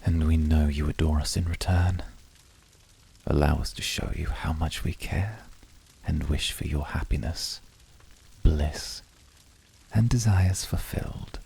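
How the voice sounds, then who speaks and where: A man acts out a poetic, composed incubus in an ASMR roleplay. His voice is rock-bottom deep and very husky, breathy at the edges. He speaks slowly and formally, seductive and devoted, often slipping toward a whisper. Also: warm tone, full character voice, low-quality recording. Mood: seductive, tender. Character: composed, poetic, devoted male incubus of calm, icy temper. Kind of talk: flirty ASMR roleplay acting.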